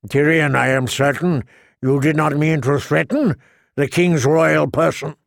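A man voicing a cold, stern older lord who speaks in a measured, authoritative way. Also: a little high, steady tone, gravelly, no room sound, studio quality.

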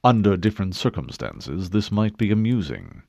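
Man doing a low, smug governor. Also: wildly swooping pitch, moderate pace, a little mellow, dry recording, muffled recording, heavily acted. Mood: mocking. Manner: low, mocking, smooth. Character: smug, suave male colonial governor.

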